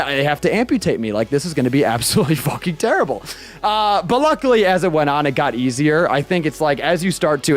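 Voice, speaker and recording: A lively twenty-year-old man talks casually to his stream viewers, voice sitting a bit high for a guy. He swears freely and gestures as he goes, right up on a studio mic, with faint music playing behind him. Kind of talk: casual livestream chat. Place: small bedroom streaming room with purple lights. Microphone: studio mic on boom arm, headphones.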